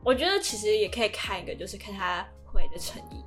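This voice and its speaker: A woman's voice: medium pitch, very animated, quick pace, fairly bright tone. Casual podcast co-host: young woman, mid-range voice, light music underneath.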